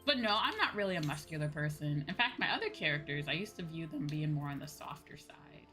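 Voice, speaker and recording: A woman livestreamer chatting casually as she plays, emphatic and playfully self-deprecating, with an animated way of talking. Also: sits low, a little fast. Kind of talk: casual gaming stream chat. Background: music.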